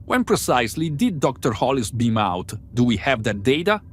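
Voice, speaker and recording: An analytical male crew member in a fan-made space drama, played by a man, lays out findings methodically. His mid-pitched voice moves with lively intonation over faint background noise. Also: normal speed, close to an everyday voice. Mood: eager, reasoning. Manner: precise, methodical explaining. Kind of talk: amateur sci-fi audio drama acting.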